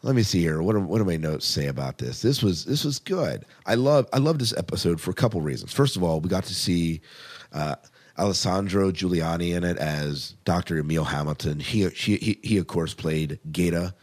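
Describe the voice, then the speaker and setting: A man's voice: sits low, animated, moderate pace, a little mellow. A man co-hosting a podcast, casually reviewing TV in an enthusiastic, conversational way and repeating phrases for emphasis. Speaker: podcast co-host.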